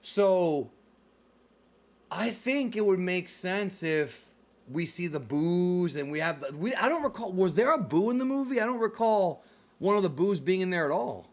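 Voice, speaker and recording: Homem de voz um pouco aguda, conversando. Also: male voice, high voice, animated, normal speed. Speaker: host of a film discussion show. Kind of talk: casual video podcast chat.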